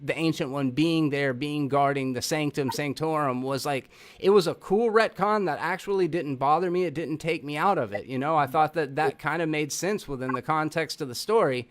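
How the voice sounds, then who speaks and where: Casual, slangy man with a higher-pitched voice. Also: normal speed, few pauses. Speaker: podcast host. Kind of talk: casual movie review chat.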